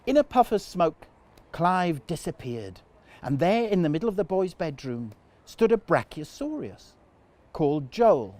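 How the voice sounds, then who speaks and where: A man reading a children's story aloud as a storyteller, with dramatic, deliberate phrasing. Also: a little high, very animated, a little mellow. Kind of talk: expressive children's story narration.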